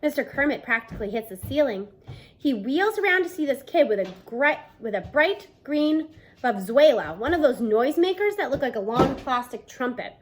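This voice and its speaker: A woman in her thirties narrates a children's book. Her voice is high and very lively in pitch, with an expressive storytelling delivery, picked up by a device at arm's length indoors. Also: moderate pace, a little hiss or hum. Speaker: read-aloud narrator. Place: small room at home, indoors.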